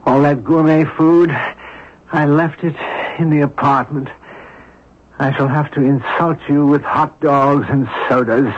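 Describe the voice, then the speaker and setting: An actor playing a genial, inquisitive American amateur sleuth in a radio drama, articulate and slightly theatrical. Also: male voice, a little high, steady tone, measured pace. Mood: cheerful, then fussy. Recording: dull, noisy 1970s radio recording.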